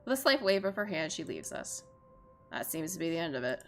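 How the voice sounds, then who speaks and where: Expressive low-voiced woman streamer, slightly creaky. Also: brisk. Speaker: streamer playing a visual novel. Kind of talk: reading game narration aloud with chatty reactions. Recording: music behind the voice. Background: game music.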